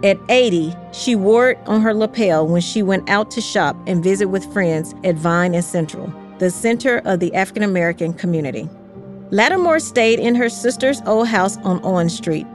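Woman doing history podcast narration, measured and carefully spoken, faint music behind her. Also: mid-range voice, expressive.

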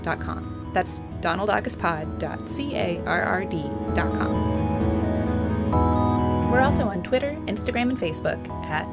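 Woman, podcast host, scripted promo read, upbeat, clear diction, loud music behind. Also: mid-range voice, expressive, a little slow.

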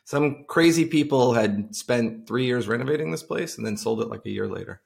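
A man's voice: mid-range voice, expressive, normal speed, vocal fry. A middle-aged man from Long Island chatting casually as a podcast guest, telling stories in an informal way. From Long Island, United States. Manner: informal, anecdotal storytelling. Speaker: podcast guest, tech entrepreneur. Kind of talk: casual conversational interview.

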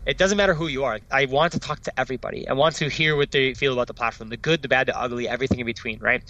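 A man's voice: medium pitch, fairly even intonation, pushed and bright, lo-fi sound. A man, a startup founder, talking eagerly in a casual interview, often tagging "right?" onto his sentences. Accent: non-Dutch foreign accent. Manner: enthusiastic, fast, peppered with "right?" and "like".

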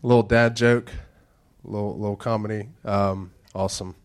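A pastor in his thirties delivers a casual sermon in a very deep voice. His nose is stuffed up and he speaks in a low, slow, near-monotone way, mixing church announcements with dad jokes. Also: clear, resonant tone. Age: 30s. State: stuffed-up nasal congestion.

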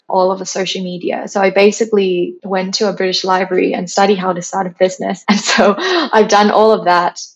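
Chatty woman from Thailand, slightly muffled. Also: medium pitch. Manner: chatty, frequent "like", animated retelling. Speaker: founder, interview guest. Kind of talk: casual interview conversation. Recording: slightly dull lo-fi sound.

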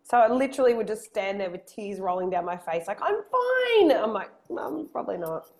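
Storytelling mum co-hosting a podcast. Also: female voice, medium pitch, fairly quick. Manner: animated storytelling with acted-out quotes. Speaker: podcast co-host. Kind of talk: casual chat. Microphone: headset earphones.

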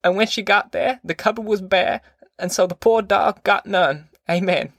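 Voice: mid-range voice, normal speed, fairly bright tone, dry recording, clean studio sound, pitched above the performer's own voice. A performer voicing a sulky, bossy elderly grandmother from a novel, with querulous, folksy speech. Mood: complaining. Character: sulky, fierce, bossy old country grandmother.

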